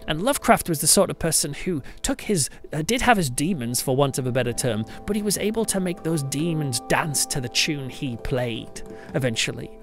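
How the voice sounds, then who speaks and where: A man's voice: a little high, very animated, normal speed. A man hosting a podcast in a reflective, semi-scripted monologue, earnest and thoughtful, with emphatic, dramatic phrasing. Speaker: podcast host, church pastor. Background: background music.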